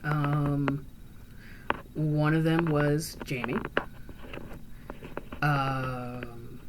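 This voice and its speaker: A woman's voice: low voice, level tone, extremely slow, noisy background. A woman playing a chatty, earnest young female vampire who talks in a rambling, hesitant way full of fillers and restarts. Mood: musing. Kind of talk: in-character roleplay monologue.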